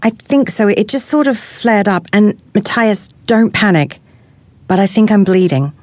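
A woman's voice: mid-range voice, animated, a little slow, a little mellow, dry recording, lightly acted. An actress playing an anxious, apologetic pregnant woman in an audio drama, speaking hesitantly with stammers. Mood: shaky, reassuring.

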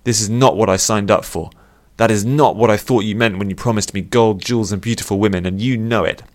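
A man's voice: low-pitched, fairly even intonation, unhurried, no echo. A man voicing an easily riled, grumbling airship captain in an audiobook, blunt and exasperated. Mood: indignant. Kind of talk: audiobook character voice.

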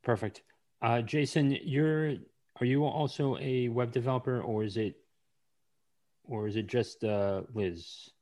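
A man hosting a podcast interview, speaking in an unhurried casual way with frequent ums and restarts. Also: medium pitch, a little mellow. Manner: slow, hesitant with many ums. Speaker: podcast host.

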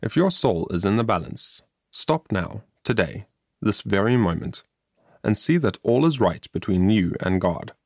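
A man narrating a scripted moral story as an audiobook, in a measured, earnest and formal reading manner. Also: on the low side, expressive, gravelly, dry recording, studio quality.

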